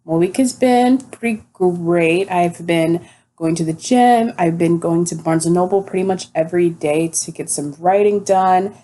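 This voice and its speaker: A woman in her twenties co-hosting a casual podcast, upbeat and quick to laugh, with a groggy morning voice. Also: fairly low pitch, dark tone. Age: 20s. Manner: upbeat, laughs easily, repeats herself. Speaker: podcast co-host. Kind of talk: casual chat.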